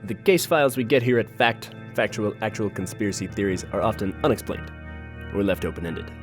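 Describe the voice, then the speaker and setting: A man's voice: fairly low pitch, brisk, soft background music, natural voice. A man playing a solemn, suspicious host of a conspiracy-mystery show, delivering a scripted monologue. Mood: solemn, mysterious.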